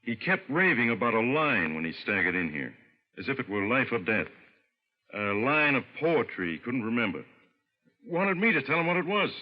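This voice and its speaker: A man's voice: mid-range voice, big pitch swings, moderate pace. A man playing a wisecracking, skeptical police inspector in a vintage radio drama, slangy and world-weary. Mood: wry, baffled. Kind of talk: mid-century American radio crime acting. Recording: vintage radio, muffled, long reverb.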